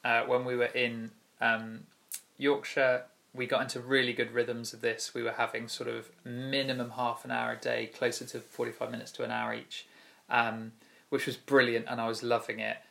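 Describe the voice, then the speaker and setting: A man leading a casual church devotional, relaxed with many pauses and fillers, joking with his co-host. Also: medium pitch, creaky. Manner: relaxed, many ums, playful banter. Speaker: youth church leader.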